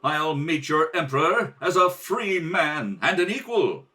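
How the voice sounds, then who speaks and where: A man's voice: on the high side, expressive, a little slow, bright, forceful tone. A man playing a brave, earnest young adventure hero in old radio serial style. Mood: defiant. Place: home kitchen table. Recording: distant home kitchen-table rehearsal recording.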